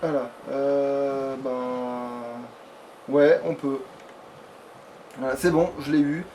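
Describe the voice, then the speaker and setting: A man's voice: mid-range voice, steady tone, very slow, mellow. A man doing casual, informal gameplay commentary as a let's player, with swearing. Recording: distant mic, clear background noise.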